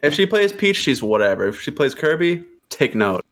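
A man's voice: fairly high pitch, big pitch swings, moderate pace, a bit bright. A man in his early twenties chatting casually as a podcast guest, laid-back and full of slang. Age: 20s. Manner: laid-back slangy banter, says bro a lot. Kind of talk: casual chat.

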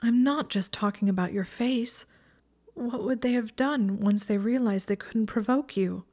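A woman's voice: mid-range voice, moderate pace, a little mellow, close to an everyday voice. A woman voicing an anguished, guilt-ridden and fierce young female student in an audiobook, urgent and insistent. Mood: distraught, urgent.

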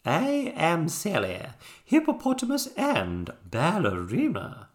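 A storybook narrator voicing a bossy, theatrical female hippopotamus ballerina who announces things grandly in a booming voice. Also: huge pitch swings, slow pace. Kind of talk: children's storybook character voice.

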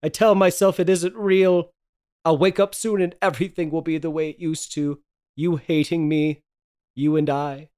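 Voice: mid-range voice, steady tone, normal speed, a little mellow, clean tone, natural voice. A tormented husband from a radio horror play, played by an actor, with a mid-range voice. The delivery is melodramatic and pleading, that of someone guarding a terrible secret. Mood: anguished. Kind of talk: old-time radio drama table read.

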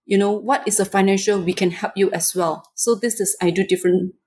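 A woman from Malaysia, a native Mandarin speaker, talking haltingly in a casual interview, often saying "you know". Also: mid-range voice, fairly even intonation, a little mellow, slight background noise. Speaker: guest.

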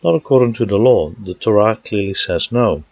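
A man with a Dutch accent preaches in a low, slightly husky voice. He works through scripture passage by passage and pauses with fillers along the way. The recording sounds dry and dull, with a lo-fi quality. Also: expressive, no echo. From Netherlands. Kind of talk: sermon teaching.

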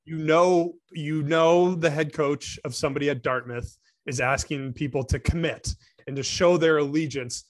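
A man's voice: fairly high pitch, fairly even intonation, normal speed, poor audio. Casual rambling male podcast co-host. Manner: thinking aloud with many restarts and "like". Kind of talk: casual sports podcast chat.

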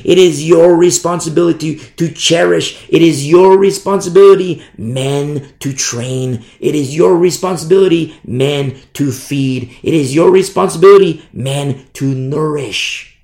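A man preaching a sermon in an emphatic, repetitive style, swinging from hushed to loud. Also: fairly high pitch, gravelly. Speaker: pastor. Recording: roomy echo.

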